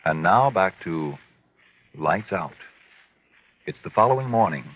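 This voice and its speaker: A formal male announcer delivers narration and sponsor copy in old radio style. His low, husky voice is emphatic and swings widely in pitch, on a muffled recording. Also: animated, measured pace. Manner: formal, emphatic, polished salesmanship.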